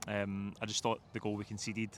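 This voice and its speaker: A Scottish man with a lowish voice hosts a football reaction chat. His delivery is extremely flat but brisk, and there is faint outdoor noise behind him. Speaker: host of football fan show. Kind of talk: post-match reaction chat. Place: outdoors outside a football stadium.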